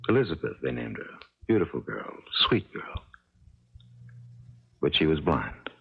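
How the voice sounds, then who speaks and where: Husky, folksy, gentle homeowner with lilting pitch. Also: male voice, big pitch swings, a little slow, heavily acted. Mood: tender, reminiscent. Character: gentle, folksy male homeowner, fond of his cat. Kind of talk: 1970s radio drama acting. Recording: dull 1970s radio broadcast recording.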